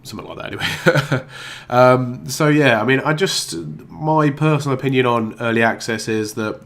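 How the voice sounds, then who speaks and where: A man's voice: medium pitch, normal speed, a bit bright. A man with a blocked nose from a cold, hosting a casual gaming vlog, rambling and hesitant with many ums and restarts. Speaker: YouTube gaming channel host.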